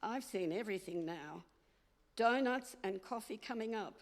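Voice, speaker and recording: A woman's voice: medium pitch, animated, moderate pace, lightly acted. A woman playing a grumbling, no-nonsense diner counter worker in an old-time style radio play. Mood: incredulous, gruff. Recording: echoey hall, far off.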